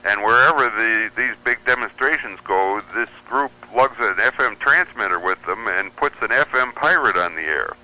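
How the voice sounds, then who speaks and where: A man's voice: on the low side, expressive, moderate pace, hardly any pauses, sharp tone, rough recording. A man, a radio hobbyist and columnist, chatting casually as a guest and telling rambling stories. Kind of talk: casual anecdotal chat.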